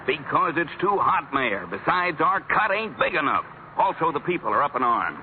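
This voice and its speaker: An actor playing an oily, scheming political boss in an old radio comedy. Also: male voice, fairly high pitch, very animated, moderate pace, slight background noise. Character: smooth-talking crooked male political boss. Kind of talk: 1950s radio drama acting. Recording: tinny old radio broadcast.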